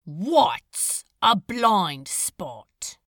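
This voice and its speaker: Woman acting a raspy, furious, exasperated demon man. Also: medium pitch, sing-song, very slow, fairly bright tone, full character voice. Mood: exasperated, indignant. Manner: spluttering, indignant outbursts. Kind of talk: fan audio drama acting.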